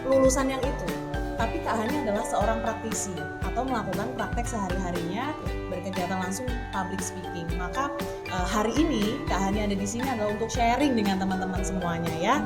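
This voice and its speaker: A woman hosting a casual group discussion, asking questions and guiding the talk. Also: medium pitch. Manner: guides the talk, asks questions. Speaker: host.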